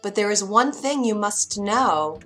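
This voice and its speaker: A woman voicing a brisk, bossy fairy godmother who gets huffy. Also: medium pitch, animated, a bit bright, soft background music. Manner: huffy, matter-of-fact.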